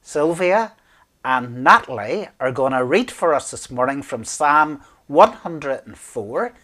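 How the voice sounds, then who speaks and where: A middle-aged man leads worship as a minister. His delivery is slow, with a lively, varied pitch. He introduces the service in an informal way. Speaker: church minister leading worship. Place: small indoor office, seated at desk.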